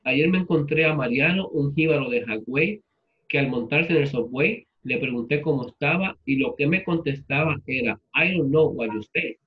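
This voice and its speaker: A man's voice: on the high side, animated, moderate pace. Puerto Rican man teaching, dull lo-fi sound. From Puerto Rico. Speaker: literature teacher. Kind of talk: informal class lecture with personal anecdotes.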